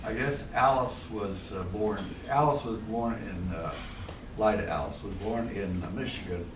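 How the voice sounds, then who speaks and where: An older man with an American accent, recalling family history in a casual, halting and tentative way. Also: on the low side. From Ohio, United States. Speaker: family elder answering questions. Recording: distant mic, echoey room, noisy.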